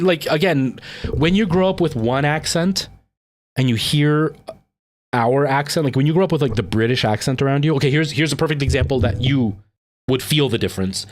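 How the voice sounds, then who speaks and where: A man from Canada with a light Canadian accent, chatting casually with viewers on a livestream, rambling off on tangents and answering viewer comments. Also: mid-range voice.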